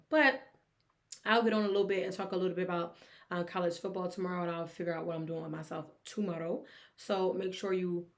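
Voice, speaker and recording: A young woman hosting a casual sports commentary vlog, talking in an animated, teasing way and laughing often. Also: fairly low pitch.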